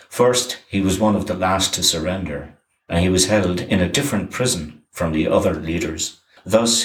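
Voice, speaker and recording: A man giving a scripted documentary narration in an even, measured reading. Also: low-pitched, echoey room, poor audio.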